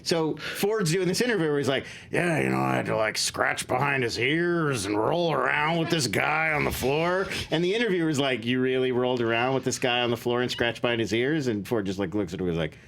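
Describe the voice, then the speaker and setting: A man's voice: medium pitch, lively intonation, fairly quick, creaky, hardly any pauses, a bit bright, a little hiss or hum. A man chatting casually as a guest commentator on films, telling anecdotes.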